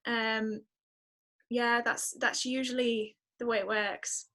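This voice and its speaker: A woman's voice: a little high, little pitch movement, slow, bright, forceful tone. A woman with a Northern English accent chatting casually and informally as an interview guest. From northern England. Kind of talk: casual chat.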